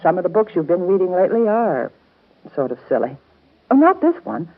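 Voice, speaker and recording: An actress in a 1930s radio soap opera playing a patient, motherly guardian, gentle, earnest and coaxing. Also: on the low side, huge pitch swings, a little fast, phone-quality sound. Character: warm, patient motherly guardian woman of twins. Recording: band-limited scratchy 1930s radio broadcast.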